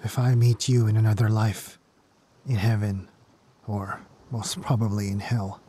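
An actor playing a desperate, remorseful scavenger man with halting speech, in an audio drama. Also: lowish voice, fairly even intonation, dark tone, slight background noise, natural voice. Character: guilt-ridden, desperate scavenger man who robs to survive.

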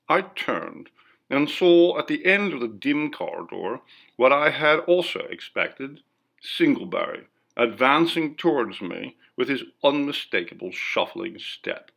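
A man reading a ghost story as scripted narration, in a measured, formal, dramatic first-person delivery. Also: mid-range voice, vocal fry, a bit bright. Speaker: audiobook reader.

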